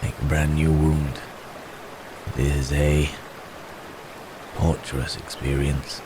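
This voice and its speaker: Man acting a very deep, slow wounded werewolf. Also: flat delivery, noisy background. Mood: weary, grave. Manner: halting, polite, old-fashioned phrasing with groans. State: strained with pain. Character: wounded, weary, courteous male werewolf hunted by men. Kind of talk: intimate ASMR roleplay acting.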